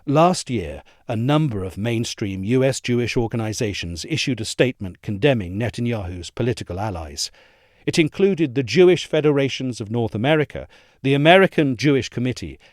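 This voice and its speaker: Male narrator for audio essay. Formal, measured read with expressive intonation, recorded dry. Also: mid-range voice, no echo. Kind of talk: scripted narration of a political essay.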